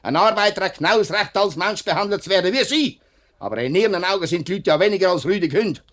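A man's voice: high voice, lively intonation, fast, a bit bright. An actor playing an upright, plain-spoken middle-aged union man with a Swiss German accent in a 1950s radio play. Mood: furious. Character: upright, outspoken workman and union man, family father.

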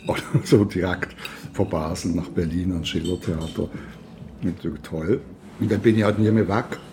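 A man's voice: low-pitched, normal speed, noisy background. A man talking casually and reflectively as an interviewer in conversation. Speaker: interviewer and radio play maker.

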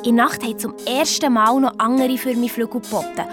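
A woman playing an anxious, excitable young girl detective with a Swiss German accent, telling her adventure in a children's radio play. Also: on the high side, brisk, lightly acted. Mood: matter-of-fact. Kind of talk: first-person children's radio play narration. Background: music.

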